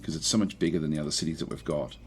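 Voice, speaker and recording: A man with a croaky voice casually talking through a strategy game, thinking aloud with many fillers and restarts. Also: a bass voice, steady tone, rapid-fire, background noise. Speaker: gameplay commentator.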